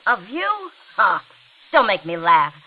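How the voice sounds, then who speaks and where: An American actress playing a bitter, taunting unfaithful wife in a 1940s radio drama, with a sneering, cutting delivery. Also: female voice, mid-range voice, huge pitch swings, takes it slowly, full character voice. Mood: scornful, mocking. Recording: dull, noisy old radio recording.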